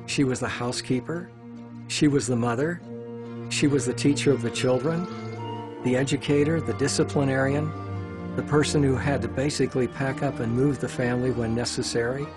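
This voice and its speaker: A man's voice: medium pitch, steady tone, moderate pace. A man giving interview commentary on history for a documentary. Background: music.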